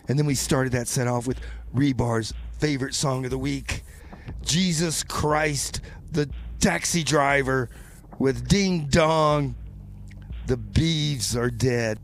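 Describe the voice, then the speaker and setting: A man's voice: medium pitch, slow, a little hiss or hum. A man hosting a casual music radio show, chatty and folksy, often repeating his phrases. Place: radio studio.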